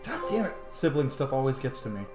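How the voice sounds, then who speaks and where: Male gaming host. Casual, reactive commentary, medium pitch, echoey recording, light game music behind. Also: very animated, quick pace. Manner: chatty, reacts aloud, thinks aloud. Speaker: let's play host.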